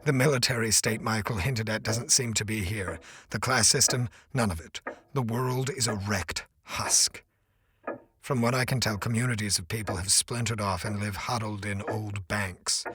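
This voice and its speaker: An actor playing an earnest, obsessed scientist in an audio drama, speaking with intense, deliberate formality. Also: male voice, fairly low pitch, fairly even intonation, fairly bright tone, slightly rough, no echo. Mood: weary, bleak. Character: earnest, obsessed male scientist stranded in another dimension.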